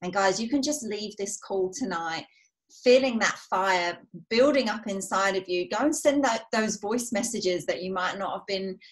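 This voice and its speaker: A woman's voice: mid-range voice, normal speed, rough recording. A woman with a mid-range voice speaks as the host of a team call. She is earnest and affirming, urging her listeners on in an encouraging, motivational style.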